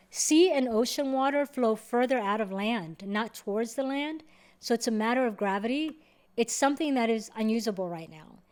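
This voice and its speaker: A woman from Somalia, hosting a video and answering viewer questions in a measured, step-by-step way. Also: a little high. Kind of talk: explanatory talk to camera.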